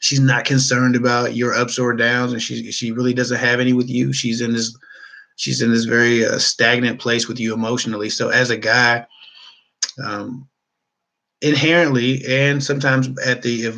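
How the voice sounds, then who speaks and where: A man's voice: mid-range voice, level tone, moderate pace, creaky, sharp tone, poor audio. A man hosting a dating advice talk, speaking frankly in a rambling, casual way. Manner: frank, rambling, with many restarts and fillers. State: losing his voice.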